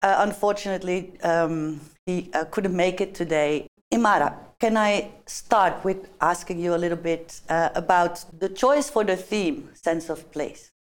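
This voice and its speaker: A woman's voice: lowish voice, measured pace, few pauses. A woman moderating a panel talk, asking questions in a hesitant way.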